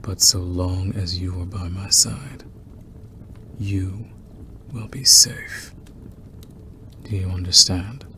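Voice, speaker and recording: A man playing a possessive, seductive and menacing werewolf lover in an intimate ASMR roleplay, teasing in a breathy, gravelly murmur. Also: sits low, little pitch movement, takes it slowly, pauses often, pushed and bright, a little hiss or hum, exaggerated voice. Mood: menacing, tender.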